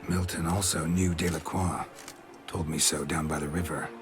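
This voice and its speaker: An actor playing a stoic, world-weary monster hunter in a video game, dry and deadpan, in clipped sentences. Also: male voice, deep voice, normal speed, lightly acted. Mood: intent, terse. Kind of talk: video game cutscene acting. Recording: music behind the voice.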